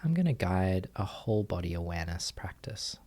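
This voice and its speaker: A man guiding a meditation as a teacher, giving gentle instructions with long pauses. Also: deep voice, sing-song. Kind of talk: guided meditation.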